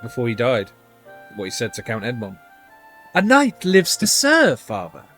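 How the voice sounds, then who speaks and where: A man's voice: mid-range voice, huge pitch swings, moderate pace, a bit bright, faint music behind. A man streaming a game live, giving casual commentary and reactions.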